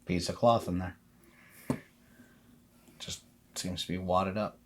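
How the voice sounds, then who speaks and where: A man narrating a tabletop game as game master, conversational and improvised, with a halting flow. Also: low voice, steady tone, noisy background.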